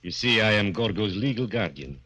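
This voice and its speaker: A man's voice: lowish voice, animated, a little fast, sharp tone. An actor playing a huge, cold, menacing vaudeville strongman in an old radio horror drama, with stiff, commanding speech. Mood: smooth menace.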